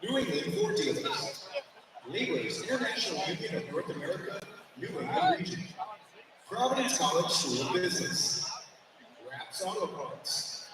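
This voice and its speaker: A man's voice: high voice, huge pitch swings, normal speed, a loud crowd. A man reading an announcement as a list over an arena public-address system. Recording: echoey arena PA, distant, noisy. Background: arena ambience and noise.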